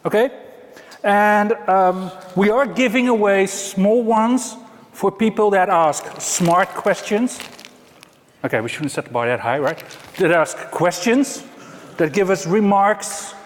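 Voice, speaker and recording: A man's voice: high-pitched, expressive, takes it slowly, slight background noise. A man from the Netherlands with a Dutch accent, giving a conference talk with dry, self-mocking humor. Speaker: conference presenter. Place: large conference hall. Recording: reverberant hall.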